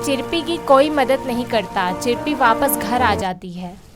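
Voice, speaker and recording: Lady telling a kids cartoon story, slow and clear, high pitched, music in the back. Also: expressive. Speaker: narrator. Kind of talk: scripted storybook narration.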